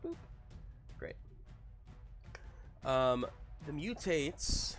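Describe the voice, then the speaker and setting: A man narrating a tabletop superhero game as game master in a casual, halting way, often searching for his words. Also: a little high, big pitch swings, faint music behind.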